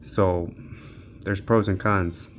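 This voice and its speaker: A man's voice: sits low, steady tone, takes it slowly, soft background music. A man giving an unscripted, casual tech walkthrough, thinking aloud informally as he tests games on a handheld. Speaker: tech reviewer hosting a handheld gaming channel.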